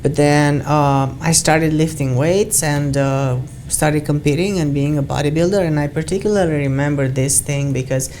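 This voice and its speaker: A woman's voice: low-pitched, steady tone, normal speed, hardly any pauses, darkish tone, slight background noise. A woman from Romania with a Romanian accent, co-hosting a casual conversation in a rambling, self-correcting way and laughing often. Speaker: co-host.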